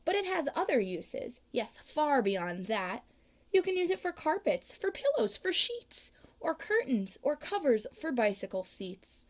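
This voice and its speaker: A woman voicing a grumpy, secretive old hermit man with a lowered, hushed voice, read from a storybook. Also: very animated, a little slow. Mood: eager, salesy.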